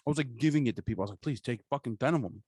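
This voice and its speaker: A man's voice: mid-range voice, very fast, a little mellow, dry recording. An American man co-hosting a podcast in casual, comedic chat, rambling along with plenty of swearing and slang. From New Jersey, USA. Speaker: podcast co-host.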